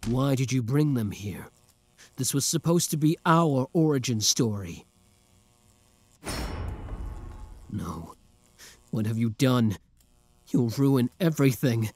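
A man's voice: medium pitch, expressive, a little slow, a little hiss or hum. A young man voicing an obsessive, lovesick young male villain in a theatrical, brooding audio roleplay. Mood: panicked. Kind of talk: roleplay audio drama monologue.

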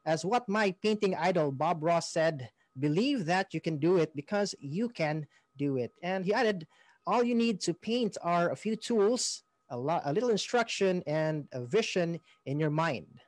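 A Filipino man speaks in a notably high voice for a male. He co-hosts casually, feeling his way through announcements with a halting delivery. The sound is dry, with hardly any room in it. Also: animated, no echo. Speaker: co-host of a school-on-the-air programme, local artist.

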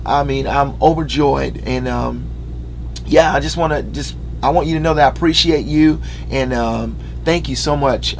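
Man, 30s, earnest upbeat vlog talk, slightly high voice, small living room, faint hum. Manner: earnest and upbeat, reads from notes with fillers. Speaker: musician addressing fans. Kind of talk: casual direct-to-camera announcement.

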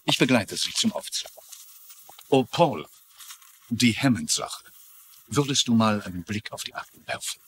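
Deep, bright, suave office boss. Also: male voice, expressive, measured pace, long pauses, slightly rough, full character voice. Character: smooth, courteous, busy office boss. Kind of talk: dubbed TV drama dialogue.

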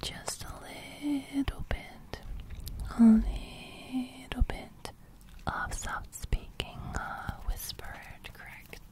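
A young adult woman whispering soothingly for an ASMR roleplay close to the microphone, with a breathy crackle that edges into soft speech. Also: high voice, steady tone. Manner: soothing, slow, reassuring. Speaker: ASMR host. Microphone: large studio microphone, very close.

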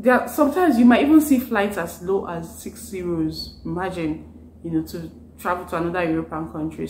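Chatty Nigerian woman in a roomy living room. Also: fairly low pitch. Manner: chatty, says "like" constantly, gestures a lot. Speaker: vlogger. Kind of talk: casual talk to camera. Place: living room with a sofa, indoors. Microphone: camera in front of her. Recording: roomy sound with faint background hum.

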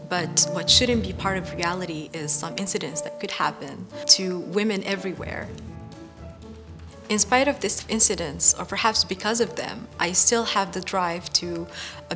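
A young woman from Morocco, a data scientist, speaking earnestly in a seated interview with measured pauses and some "uh"s. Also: lowish voice, fairly bright tone, soft background music. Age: young adult. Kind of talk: corporate interview. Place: bright furnished indoor room.

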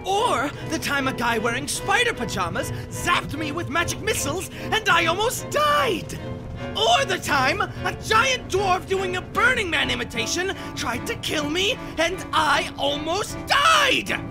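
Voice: very high-pitched, very animated, measured pace, exaggerated voice, rough recording. An actor playing a flamboyant, snarky young male elf wizard in a comic fan dub, theatrical and drawling. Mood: indignant. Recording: loud music under the voice. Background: loud music.

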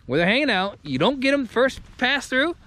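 A middle-aged man hosting a casual fishing vlog from a boat on a lake, folksy and excitable, picked up by an action camera. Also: extremely high pitch, normal speed. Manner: excited, folksy, exclaims at catches. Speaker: fishing video host. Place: on a bass boat on a lake outdoors. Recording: outdoor wind and boat noise.

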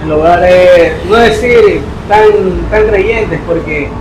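Tearful, soft-spoken poor young man. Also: sits high, background noise. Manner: quiet, halting, emotional. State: crying. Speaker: young parking attendant in a staged charity video. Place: indoor room. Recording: echoey room with music behind.

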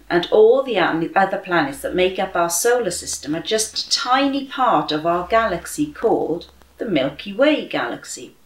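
A woman in her thirties reading aloud and praying for children with deliberate, expressive phrasing. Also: medium pitch. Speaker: children's church story reader. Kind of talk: slow expressive read-aloud and prayer for children. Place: bright room at home by a window, indoors. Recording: roomy echo.

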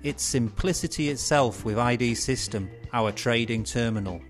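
A middle-aged man presenting scripted instructional narration in a measured, explanatory way, posing rhetorical questions. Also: medium pitch. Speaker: presenter of an educational film. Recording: music bed behind studio voice. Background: instrumental music.

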